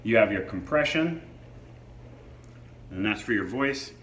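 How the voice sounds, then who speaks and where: A man's voice: lowish voice, lively intonation, a little slow, fairly bright tone. A man reviewing a product in a casual, hands-on demo, explaining features informally. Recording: echoey room with faint background noise.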